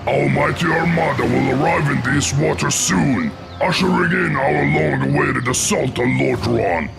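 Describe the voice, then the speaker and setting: A man playing a proud, fierce orc war leader in a fantasy game, with gravelly, formal, declamatory delivery. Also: medium pitch, moderate pace. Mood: resolute briefing. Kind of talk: fantasy game cutscene acting. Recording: loud music under the voice.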